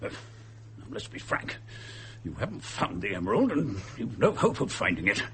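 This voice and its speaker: An actor playing a cantankerous, imperious English lord in a radio drama, pompous, sputtering and dismissive. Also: male voice, fairly low pitch, huge pitch swings, normal speed, fairly bright tone, slight background noise, heavily acted. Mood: scornful, irate.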